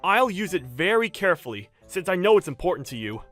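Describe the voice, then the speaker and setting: A woman voicing an earnest, protective young husband in a manga dub, emphatic and quick to indignation. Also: on the low side, sing-song, faint music behind. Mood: eager.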